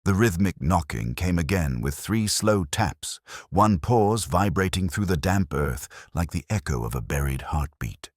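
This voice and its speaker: Male narrator for mystery fiction: extremely low, husky voice, expressive swings in pitch, dry close sound. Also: measured pace, few pauses, no echo. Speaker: audiobook-style narrator. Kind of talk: scripted first-person mystery story narration.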